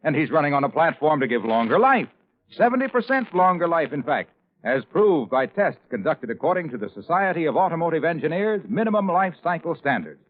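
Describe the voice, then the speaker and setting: A male announcer delivers an old-time radio sales pitch. His voice sits fairly high and moves with animated, polished emphasis. The recording is narrow and vintage. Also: fairly quick. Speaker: commercial announcer.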